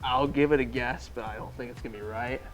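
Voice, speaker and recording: A man in his twenties from Pennsylvania plays along on a college quiz show, doubting his own guesses and laughing easily. His voice sits at a middling pitch. Faint music hums behind him. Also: fairly even intonation, fast talker. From Pennsylvania, USA. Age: 20s. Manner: self-deprecating, laughs a lot. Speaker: quiz contestant, graduate student. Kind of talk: casual game show banter. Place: college TV studio.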